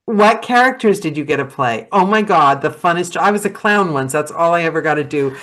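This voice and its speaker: A woman hosting a casual podcast chat, rambling and animated, laughing often. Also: fairly low pitch, a little fast. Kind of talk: casual video-call chat.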